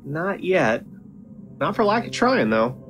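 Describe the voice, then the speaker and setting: A woman's voice: lowish voice, sing-song, slow pace, soft background music. A woman playing an awkward, friendly, bumbling young character in an audio drama, hesitant and stammering.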